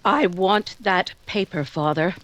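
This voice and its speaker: An actress playing a desperate, resolute grown daughter in a 1940s-style radio drama, intense and insistent. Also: female voice, fairly low pitch, noisy background. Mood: grimly determined. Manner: intense, deliberate pleading and insistence.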